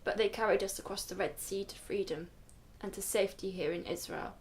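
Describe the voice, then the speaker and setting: A woman playing a dignified grandmother telling her life story in a radio drama, measured and solemn. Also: mid-range voice, steady tone, slight background noise, lightly acted. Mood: solemn, reflective. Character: weary, dignified Ethiopian-Israeli grandmother recalling her flight.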